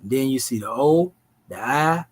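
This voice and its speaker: A man, teaching a language class in a relaxed, folksy way. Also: medium pitch, normal speed, husky. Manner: relaxed, folksy, dialect grammar.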